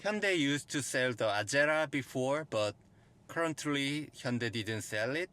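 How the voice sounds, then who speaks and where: A man with a Korean accent, whose first language is Korean, gives a car review walkthrough in plain, halting phrases. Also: medium pitch, animated, a bit bright, clean tone. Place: in and around a car.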